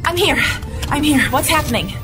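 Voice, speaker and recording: A woman's voice: high voice, lively intonation, normal speed. A woman playing an eager, alert spaceship crew member in a science fiction audio drama. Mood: urgent, alert. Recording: loud music behind the voice.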